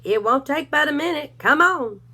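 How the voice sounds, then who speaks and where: A cocky male cowdog, voiced by a woman reader, delivers dry, sly remarks with a drawl. His voice is high and bright. A storybook hero who thinks he is cleverer than everyone. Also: animated, normal speed. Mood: wry. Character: self-important male cowdog, wisecracking and sly.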